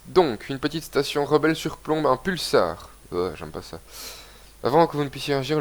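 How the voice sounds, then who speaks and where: A young man with a cold giving rambling, casual commentary while playing a video game and reading its text aloud. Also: medium pitch. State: has a cold, congested. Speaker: gaming video host. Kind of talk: casual let's-play commentary.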